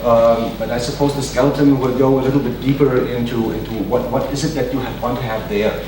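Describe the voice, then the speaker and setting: A man's voice: mid-range voice, steady tone, a little fast. Echoey hall talk by a non-native English man. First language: not English. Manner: hesitant, many fillers and restarts. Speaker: conference speaker. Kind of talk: conference talk with audience Q&A. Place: large conference hall. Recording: echoey hall, distant mic, noisy.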